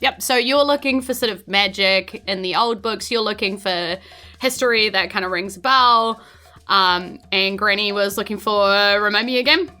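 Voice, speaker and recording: A woman's voice: medium pitch, normal speed, bright, forceful tone, soft background music. A woman narrating a tabletop game as game master in a casual, improvised, conversational way.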